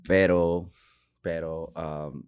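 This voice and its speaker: Very deep-voiced man with Peruvian Spanish accent. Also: level tone, slow pace, smooth, no room sound, clean studio sound. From Peru. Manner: hesitant, searching for words. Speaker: guest, tattoo artist. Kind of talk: casual podcast chat.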